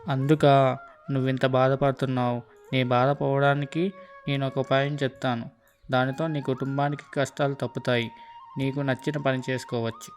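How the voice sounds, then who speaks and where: Man as a flat-toned, kindly cartoon herdsman. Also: medium pitch, moderate pace, soft background music, natural voice. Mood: advising. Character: kindly advising male herdsman, calm helper. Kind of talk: children's cartoon story dubbing.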